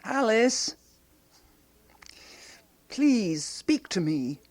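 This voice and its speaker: A woman playing an eccentric, earnest amateur investigator in a radio drama, with a deliberate, unflappable manner. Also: fairly high pitch, big pitch swings, darkish tone, full character voice. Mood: pleading. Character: Gertrude, eccentric, matter-of-fact, obsessive woman amateur investigator.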